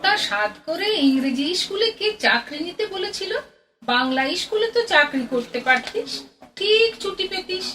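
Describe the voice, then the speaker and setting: A woman's voice: extremely high pitch, very animated, normal speed, bright, forceful tone, heavily acted. A woman playing an anxious, complaining aunt in an audio play, hesitant and grumbling. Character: anxious, complaining aunt afraid of travelling alone. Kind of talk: amateur audio play acting. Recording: distant mic, busy crowd sounds.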